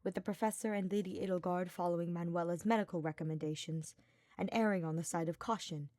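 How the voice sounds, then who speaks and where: A woman with a somewhat low voice narrates a fantasy story. She reads from a script in the manner of an audiobook. The recording is very dry, with no audible room. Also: mellow, dry recording. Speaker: podfic reader.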